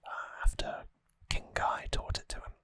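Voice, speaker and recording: Slow, crisp ASMR whisper, very close. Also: no echo. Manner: hushed, unhurried, explanatory. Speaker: ASMR host. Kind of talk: whispered ASMR trivia recap. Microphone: close microphone.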